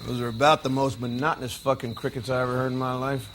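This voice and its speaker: An actor playing a volatile, resentful drifter brother in a stage drama, with an American accent and a gruff, needling, profane manner. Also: male voice, medium pitch, little pitch movement, quick pace, a bit bright, lightly acted. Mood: idle, brooding. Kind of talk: naturalistic stage-play acting. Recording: noisy recording, chirping crickets behind.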